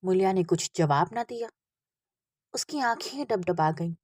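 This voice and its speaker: Husky, unhurried woman narrating a story. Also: fairly low pitch, expressive, a little mellow, dry recording, studio quality. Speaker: audiobook narrator. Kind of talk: expressive literary story reading.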